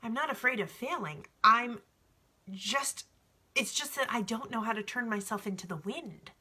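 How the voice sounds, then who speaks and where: A woman's voice: fairly high pitch, animated, normal speed, sharp tone, breathy edge, voice pushed up, exaggerated voice. A woman voicing a frightened, pleading young shepherd boy who protests anxiously in a storybook read-aloud. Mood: anxious, protesting.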